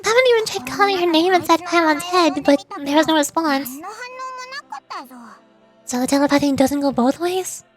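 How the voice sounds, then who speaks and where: Game fairy companion, played by a woman: very high, childlike, chirpy and inquisitive. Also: normal speed, long pauses, faint music behind, close to an everyday voice. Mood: curious. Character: small chirpy floating fairy companion, speaks in third person.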